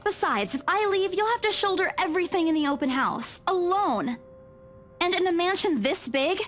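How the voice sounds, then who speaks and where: A woman's voice: extremely high pitch, lively intonation, moderate pace, a bit bright. An actress playing a plucky young female realtor who brushes things off in a visual novel game. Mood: insistent.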